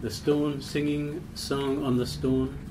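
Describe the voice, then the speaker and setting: A man reading a literary poem aloud in a measured, formal way. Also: mid-range voice, flat delivery, noisy background. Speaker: poetry reader.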